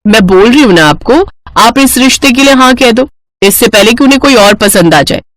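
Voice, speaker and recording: A woman voicing an excitable, whiny, naive young bride in a cartoon story. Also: a little high, brisk, dry recording. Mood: eager, insistent. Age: young adult.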